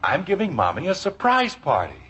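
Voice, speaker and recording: A man playing a gentle, indulgent middle-aged father in a 1950s radio sitcom, fond and wryly hesitant, on a dull old recording. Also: on the high side, huge pitch swings, moderate pace, breathy edge, exaggerated voice. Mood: wry, conspiratorial.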